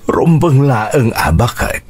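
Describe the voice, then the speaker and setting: This is a proud, talkative father in an Ilocano radio drama. He sounds husky and takes his time, with an expressive, questioning lilt that rises and falls widely. His accent is Ilocano Filipino. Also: mid-range voice, takes it slowly, exaggerated voice. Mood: curious, pleased.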